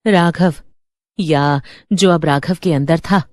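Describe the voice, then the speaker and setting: A woman's voice: deep voice, slow pace, a little mellow, dry recording, studio quality. A woman narrator reading a scripted horror story in a dramatic storytelling style.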